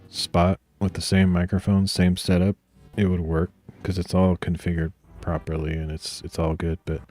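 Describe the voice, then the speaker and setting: Very low, grainy-voiced man coding. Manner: soft-spoken, meandering, frequent throat clearing. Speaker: streamer coding live. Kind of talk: casual thinking aloud while coding.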